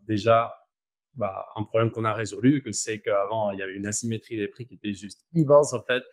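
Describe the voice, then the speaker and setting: A man with an Argentine accent, talking casually as an interview guest, relaxed and slangy, with frequent fillers and restarts. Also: on the low side, dry recording. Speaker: guest, company founder.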